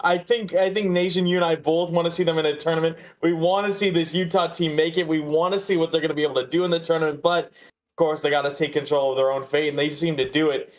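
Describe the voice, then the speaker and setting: A man with a somewhat high-pitched voice talks as a sports podcast host. His analysis is casual and conversational. He often repeats a phrase while working out his next thought. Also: fairly even intonation, fast talker.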